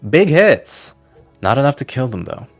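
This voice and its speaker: A man's voice: medium pitch, normal speed, faint music behind. A man narrating combat as the game master of a tabletop game. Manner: descriptive, steady narration of combat.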